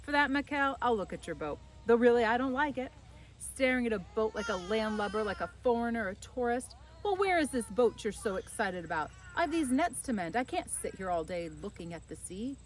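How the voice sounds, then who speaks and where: A woman's voice: a little high, very animated, a little fast. A woman voicing a proud, teasing, lazy fisherman from a children's storybook, with exclamatory, mock-exasperated delivery. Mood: grumbling. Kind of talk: children's storybook character reading.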